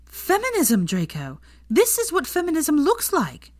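A woman's voice: sits high, huge pitch swings, measured pace, a little mellow, no room sound, clean studio sound, voice pushed up, exaggerated voice. A woman voicing an earnest, feisty, know-it-all young witch in a story reading, emphatic and matter-of-fact. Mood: excited.

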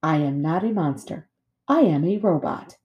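A woman voicing a gentle robot mother in a storybook, speaking politely and precisely in formal phrases. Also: sits low, lively intonation, normal speed, muffled recording. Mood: friendly, formal.

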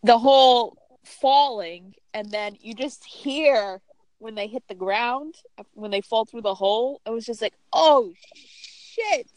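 A woman's voice: a little high, slow pace, a little mellow, dry recording, poor audio. A woman chatting casually on a podcast, speaking emphatically and swearing for effect. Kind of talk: casual chat.

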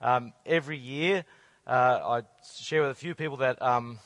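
A middle-aged man with a hoarse, croaky voice, preaching a sermon with earnest rhetorical questions. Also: medium pitch, normal speed, a bit bright, dry recording. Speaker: conference speaker.

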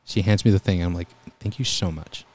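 A young man telling EMT stories in a relaxed, calm voice during a casual chat. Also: deep voice, fast talker. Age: young adult. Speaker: guest, EMT volunteer.

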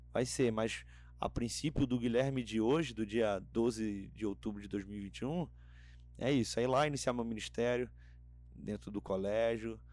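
A young man from Rio de Janeiro state with a Carioca accent, a podcast guest chatting in a relaxed, rambling way full of fillers and restarts. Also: fairly low pitch, reverberant. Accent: Carioca Brazilian Portuguese. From Rio de Janeiro state, Brazil. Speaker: podcast guest, theology graduate. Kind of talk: casual chat.

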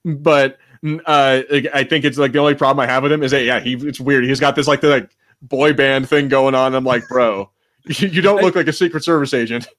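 A man's voice: medium pitch, steady tone, brisk, fairly bright tone. A man co-hosting a casual podcast, talking in a rambling, slangy and sometimes sweary way. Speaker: podcast co-host. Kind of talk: casual pop-culture chat.

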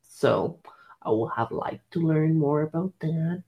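A young man co-hosts a podcast and talks casually in a gravelly voice with plenty of fry. He hesitates often and fills the gaps with "um" and "like" while he thinks aloud. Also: a little high, unhurried, lo-fi sound. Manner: hesitant, many "um" and "like" fillers. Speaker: podcast co-host. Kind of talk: casual chat.

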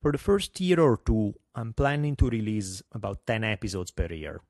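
Podcast host, middle-aged man, thick Italian accent, fairly low voice, wry and self-deprecating. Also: moderate pace, darkish tone, no room sound, studio quality. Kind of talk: solo podcast monologue.

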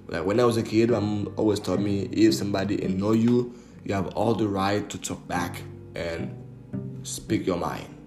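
A young man hosts a solo podcast, talking loosely with plenty of fillers and swearing. His voice sits low. The room is echoey, and faint guitar music plays underneath. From Haiti. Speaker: podcast host. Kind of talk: casual solo podcast storytelling.

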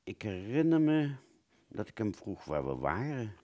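A man playing a wounded British fighter pilot, telling his story in a radio play with a husky voice and halting, stammering delivery. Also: on the low side, very animated, warm tone. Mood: weary, remembering. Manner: halting, stammering, repeats words. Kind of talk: first-person dramatic narration in a radio play.

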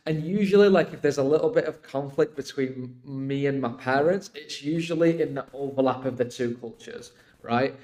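A man chats on a podcast. His voice sits at an ordinary pitch, and he explains things in a loose, emphatic, rambling way, tagging points with little check-ins. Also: moderate pace, darkish tone. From Iraq until age eight, then UK. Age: 20s. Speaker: podcast guest. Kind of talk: casual podcast conversation.

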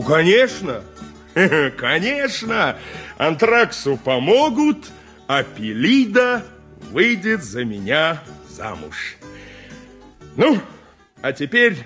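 Boastful armourer in an 80s radio play, slow drawn-out talk, big swooping pitch. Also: male voice, a bit bright, full character voice. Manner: exclamatory, chuckling, theatrical. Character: boastful, boisterous armourer courting a milliner. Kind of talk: 1980s radio play acting.

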